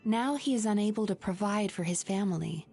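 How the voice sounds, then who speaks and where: An actress playing a composed young woman with a clinical, gentle yet firm way of speaking, in a game cutscene. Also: mid-range voice, brisk, creaky, breathy edge. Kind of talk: video game cutscene dub.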